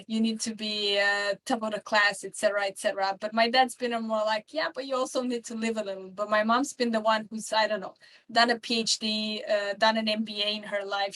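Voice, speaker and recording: A woman's voice: medium pitch, fairly bright tone. A woman in her twenties from Romania, chatting as a podcast guest and rambling with many fillers and restarts. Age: 20s. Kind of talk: casual interview chat.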